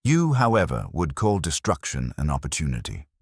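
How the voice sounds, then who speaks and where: A man's voice: extremely low pitch, huge pitch swings, normal speed, slightly rough, dry recording, clean studio sound, full character voice. A man voicing a stern, imperious detective genius in an audiobook, with a pompous, formal and accusatory way of speaking. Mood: accusing, commanding.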